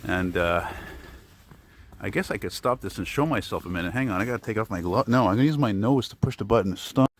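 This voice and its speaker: An older man with a deep baritone voice, chatting as he vlogs on a walk through snowy city streets. He meanders and mutters, with mild swearing and self-deprecating asides. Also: lively intonation, fairly quick. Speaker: vlogger. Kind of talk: rambling walking vlog. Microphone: phone on a selfie stick.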